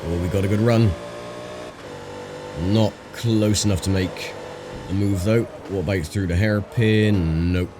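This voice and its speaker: A man with a croaky voice talks his way through a racing game in an easy, chatty manner, often pausing on fillers. His voice sits very low. Clear engine and car noise from the game runs under his voice. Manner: relaxed, chatty, thinking aloud with fillers. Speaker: gaming channel host. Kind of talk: casual racing game commentary.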